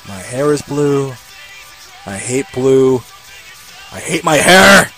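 A man voicing a cynical, self-loathing high-school boy in a sarcastic, easily panicked anime parody dub. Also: expressive, slow pace, music in the background. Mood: gloomy. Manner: ranting, sarcastic, foul-mouthed, swinging into yelps.

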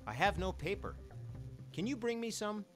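High-pitched, crude, jumpy guard. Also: male voice, normal speed, over music. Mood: complaining. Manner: exaggerated, excitable. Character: crude, jumpy male prison guard, comically deferential. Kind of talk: comedic video game voice acting.

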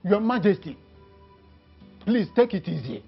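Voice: medium pitch, measured pace, creaky, slightly rough, faint music behind, exaggerated voice. An actor playing a respectful counsellor who urges the king to rest. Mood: pleading. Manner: calm, respectful. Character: cautious royal counsellor. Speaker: palace chief. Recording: dull lo-fi audio.

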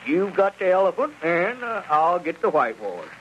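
An actor playing a boastful, smooth-talking country squire in a radio comedy, pompous and given to hemming and showman talk. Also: high voice, big pitch swings, normal speed, fairly bright tone. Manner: pompous, hesitant hemming, then grandiose showman. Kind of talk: 1930s-40s radio comedy acting. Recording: muffled, noisy vintage radio recording.

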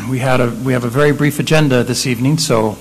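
A man's voice: mid-range voice, steady tone, vocal fry, breathy edge, poor audio. Man chairing a council meeting. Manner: procedural and brisk. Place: city council chamber.

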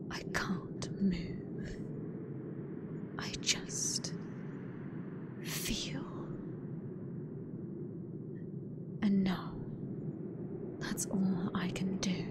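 A hateful machine goddess with a British accent , acted by a woman, speaking extremely slowly in ASMR horror roleplay. She slips into breathy whispers, deliberate and menacing, savouring her contempt for humankind. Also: medium pitch. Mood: cold brooding. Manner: slow, deliberate, menacing, theatrical. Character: hateful sentient female AI god gloating over last human.

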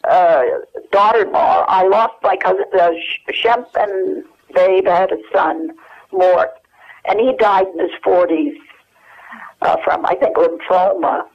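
A woman's voice: medium pitch, fairly even intonation, measured pace. An older woman, a guest on the phone, recalling family stories in a rambling way with many fillers. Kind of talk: telephone interview. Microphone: telephone. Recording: muffled telephone line.

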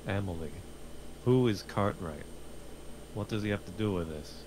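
Deep-voiced probing detective, read by a man. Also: normal speed, noisy background, lightly acted. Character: probing, formal male police detective. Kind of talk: screenplay table read.

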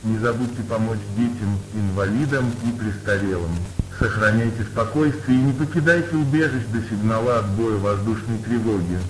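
A man's voice: fairly low pitch, normal speed, hardly any pauses, close to an everyday voice. A man playing a stern civil defense announcer reading a formal emergency warning. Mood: grave, urgent. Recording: echoey, noisy, humming old broadcast.

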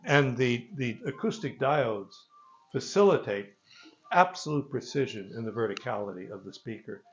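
An older man giving an informal product presentation in a gravelly voice, with halting, hesitant phrasing, recorded on a phone a few meters away. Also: medium pitch, lively intonation, dull audio. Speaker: loudspeaker company presenter. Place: carpeted listening room with stone wall, indoors. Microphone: phone camera a few meters away.

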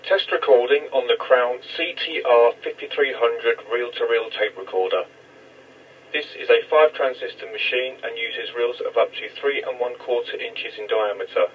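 A man reads a scripted test announcement in a measured, deliberate way, as a demonstrator of vintage equipment. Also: medium pitch, husky, phone-quality sound.

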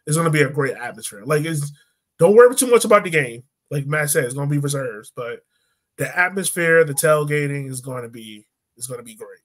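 A man's voice: fairly high pitch, lively intonation, fairly quick. A young man co-hosting a soccer podcast in casual, slangy fan chat over a video call. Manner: slangy, informal. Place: indoor room at home, on a video call.

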